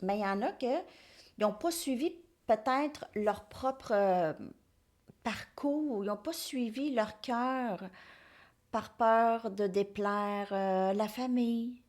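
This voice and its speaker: A woman's voice: fairly high pitch, takes it slowly, darkish tone. A woman giving a casual tarot reading, rambling and hesitant, sometimes dropping to near-whispers. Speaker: tarot card reader.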